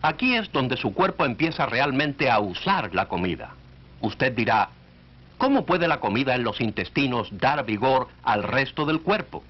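A man's voice: mid-range voice, big pitch swings, moderate pace. A man narrating a 1940s educational film in an instructive way, speaking directly to the listener. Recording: dull, band-limited 1940s film soundtrack.

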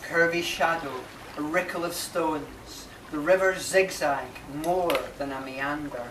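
A man in his thirties giving a measured poetry recital, pausing between short phrases. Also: fairly high pitch. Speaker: poet reading own work. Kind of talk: slow live poetry recital. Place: inside a marquee at a live reading. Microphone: camera mic a few metres away. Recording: distant, echoey, noisy camera recording.

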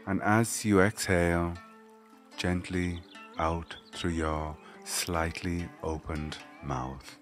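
A man leading a guided meditation, giving gentle, measured spoken instructions. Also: deep voice, steady tone, faint music behind.

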